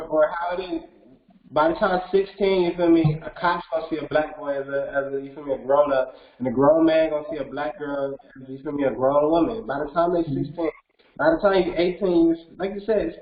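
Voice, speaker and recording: A young man in his twenties speaks earnestly in a casual interview over a video call. He talks a bit higher than most men, restarts his sentences and keeps dropping "you feel me". The audio is roomy and dull. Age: 20s. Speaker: rapper guest.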